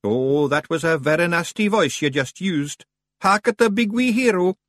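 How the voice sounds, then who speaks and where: A man voicing a tiny, brash, cheerful pixie man with a broad Scottish accent, gruff and hearty. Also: fairly high pitch, expressive, moderate pace, a bit bright, no room sound, studio quality, pitched above the performer's own voice. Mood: brisk.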